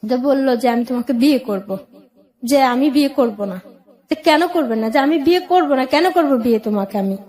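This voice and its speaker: A woman's voice: sits high, expressive, normal speed, a little mellow. A woman telling her own life story as an interview guest, plainly, quoting conversations as she goes. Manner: plain-spoken, recounting with acted-out dialogue.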